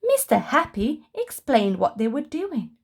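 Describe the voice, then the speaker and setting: Woman, children's read-aloud narration, highish pitch, slow pace, expressive, breathy edge. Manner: expressive, deliberate storytelling for young children. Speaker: children's story reader.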